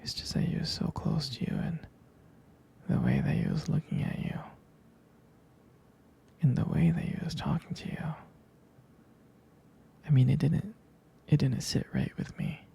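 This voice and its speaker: A man playing a tender, jealous, devoted boyfriend in an intimate ASMR roleplay, speaking haltingly in a breathy, husky whisper. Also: mid-range voice, wildly swooping pitch, dark tone, exaggerated voice. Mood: jealous, hesitant. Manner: hesitant, intimate, halting delivery.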